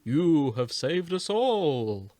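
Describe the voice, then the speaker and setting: A man voicing a solemn, grateful warrior spirit with a slow, stately, formal delivery in an amateur dramatic reading. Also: on the high side, sing-song, warm tone, no room sound, voice pushed up, heavily acted.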